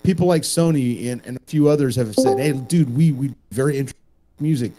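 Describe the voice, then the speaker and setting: A man's voice: mid-range voice, brisk, over music. A man in a casual panel chat, talking in an emphatic, opinionated way and swearing freely. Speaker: podcast panelist.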